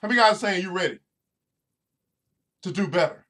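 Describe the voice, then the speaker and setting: A man's voice: high voice, animated, normal speed, pushed and bright. A man hosting a motivational podcast, preaching to his listeners directly and repeating phrases over and over for emphasis. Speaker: financial educator and podcast host.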